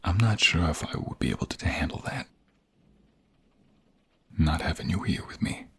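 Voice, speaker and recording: A man playing a tender, protective young mobster in an intimate ASMR romance roleplay, speaking softly and haltingly in a husky, gravelly, breathy voice. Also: very deep voice, slight background noise. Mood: worried, relieved. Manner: soft, halting, gentle, intimate.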